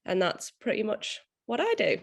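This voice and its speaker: A woman PhD student with a slightly croaky voice, explaining science to a public audience on a video call. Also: mid-range voice, wildly swooping pitch, moderate pace. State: croaky from being unwell.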